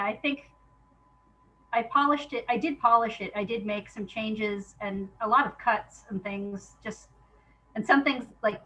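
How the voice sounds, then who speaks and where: A woman with a bright, clear-cut voice at a middling pitch speaks as a guest in a casual interview. She is informal and works out her answers as she goes. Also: muffled recording. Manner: informal, thinking aloud. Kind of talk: casual chat.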